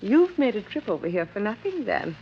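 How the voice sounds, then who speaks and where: A woman's voice: medium pitch, big pitch swings, a little fast. An actress playing a proper, frosty middle-aged mother in a 1950s radio drama, formal and insistent. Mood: genteel, controlled. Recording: dull, faintly noisy vintage radio recording.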